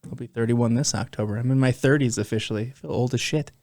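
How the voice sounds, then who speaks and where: A man's voice: medium pitch, fast, darkish tone, dry recording, studio quality. A man in his thirties co-hosting a podcast, laid-back and teasing, swearing casually. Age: 30s. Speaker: podcast co-host.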